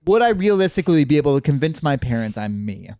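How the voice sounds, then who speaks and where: A man co-hosting a casual podcast, reacting with quick questions and what-if musings and casual swearing. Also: a little high, sing-song, dark tone, no room sound, clean studio sound. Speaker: podcast co-host.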